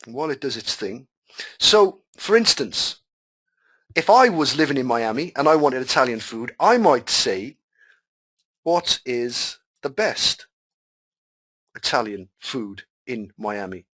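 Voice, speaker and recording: A man's voice: medium pitch, very animated, slow pace, long pauses, sharp tone. Welsh-speaking man coaching on a webinar. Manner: chatty, repetitive, reads questions aloud then answers. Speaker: webinar host and marketing coach. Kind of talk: live webinar Q&A.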